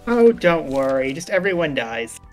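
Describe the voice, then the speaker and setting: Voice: medium pitch, sing-song, normal speed, over music. A co-narrator of a game read-along stream, chatting casually.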